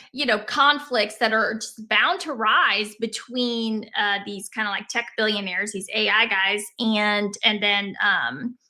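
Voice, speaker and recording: Bright casual woman co-host. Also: fairly high pitch. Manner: casual, many fillers like "you know" and "like". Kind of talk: livestream political discussion.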